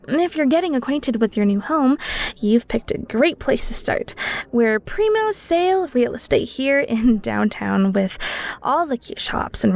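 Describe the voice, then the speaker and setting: A woman's voice: high voice, vocal fry, darkish tone, heavily acted. A woman playing a playful, flirty cheesemonger in an intimate, teasing audio roleplay. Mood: chatty, teasing. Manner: bubbly, teasing, giggly, breaks into near-whispers.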